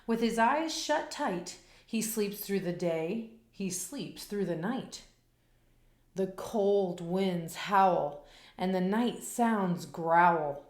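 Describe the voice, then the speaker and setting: A woman reading a children's picture book aloud in a slow, expressive, rhythmic way, after a casual spoken intro. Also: medium pitch, echoey room.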